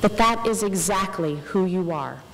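A young woman giving an earnest, measured inspirational speech as a faculty speaker at a podium microphone. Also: mid-range voice, animated. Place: large indoor ceremony hall, on stage. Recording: reverberant hall with clear background noise.

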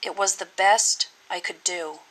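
A woman's voice: on the low side, steady tone, a little slow, fairly bright tone. A woman voicing an honest, earnest young boy in a children's storybook. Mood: ashamed, earnest.

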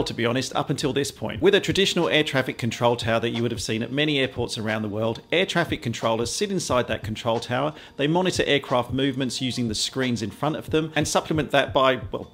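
A man, presenting an explainer to camera in a measured, conversational way. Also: mid-range voice, lively intonation, a bit bright, faint music behind. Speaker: aviation vlogger and presenter. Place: small quiet home office, indoors.

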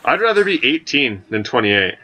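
A man's voice: medium pitch, normal speed. A man in his twenties from Alberta, chatting and joking while streaming a game. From Alberta, Canada. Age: 20s. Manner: joking, animated. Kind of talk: casual chat while playing.

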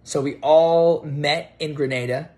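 A man's voice: on the high side, steady tone, unhurried, darkish tone. American man in his thirties telling stories casually. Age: 30s. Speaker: storyteller. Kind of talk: casual storytelling to camera. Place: home kitchen, indoors. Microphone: phone propped on table at arm's length.

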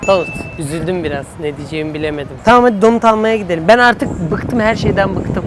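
A man's voice: sits high, fast talker, noisy background. Playfully grumbling young man vlogging. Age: young adult. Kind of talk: casual chat to camera.

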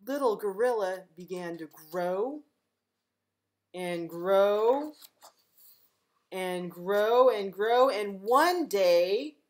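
Slow-paced woman reading to children. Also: mid-range voice. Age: middle-aged. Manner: gentle, expressive, addressing young children. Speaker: librarian reading aloud to children. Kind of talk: picture-book read-aloud. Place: living room at home, indoors.

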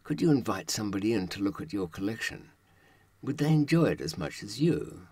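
An older man in his seventies delivers a reflective, scripted monologue in a low, husky voice. He speaks deliberately and dramatically, pausing often between phrases. The sound is close and dry. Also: big pitch swings, darkish tone, no room sound. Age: 70s. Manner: dramatic, deliberate delivery with pauses and rhetorical questions. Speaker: presenter of his own talk series.

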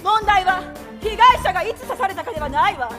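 A woman playing a young prodigy prosecutor on stage, imperious, impatient and scornful, with sharp commanding declamation. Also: very high-pitched, lively intonation, a little fast. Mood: indignant, assertive. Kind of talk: theatrical stage acting. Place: large theater stage. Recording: echoing theater hall, music, audience noise.

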